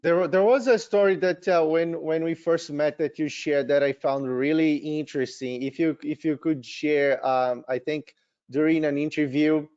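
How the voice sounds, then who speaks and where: A man's voice: fairly high pitch, fairly even intonation, normal speed, darkish tone, clean tone. Hesitant man on muffled audio. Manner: hesitant, many fillers. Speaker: panel moderator. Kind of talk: panel discussion.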